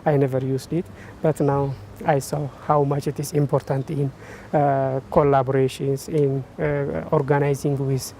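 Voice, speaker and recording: A man, a trainee scientist speaking haltingly in an interview with many pauses and fillers. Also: mid-range voice, level tone, dark tone, slight background noise. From Ethiopia. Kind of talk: interview testimonial.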